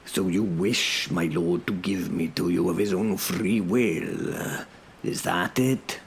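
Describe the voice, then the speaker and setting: A man voicing an unruffled knight who feigns confusion with dry, deliberate politeness in an audiobook. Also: low voice, fairly even intonation, vocal fry, slight background noise, full character voice. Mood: mock polite.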